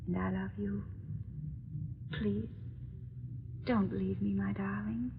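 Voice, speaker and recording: A woman's voice: medium pitch, level tone, slow pace, gravelly. A woman acting a devoted, romantic young princess in a melodramatic old-time radio drama. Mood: tender. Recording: dull, noisy old radio recording.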